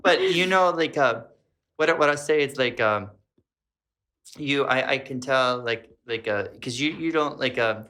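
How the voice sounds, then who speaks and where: Very deep-voiced woman host. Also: fairly bright tone. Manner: halting, many fillers and restarts. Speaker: podcast host. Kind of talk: casual interview chat.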